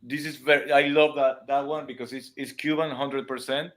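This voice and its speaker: A man's voice: medium pitch, flat delivery, moderate pace, a bit bright. Man explaining with restarts, non-native accent. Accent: non-native English speaker. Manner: explains with everyday comparisons, many restarts. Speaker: dance and music teacher; guest. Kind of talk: casual live-stream chat. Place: room at home with cameras.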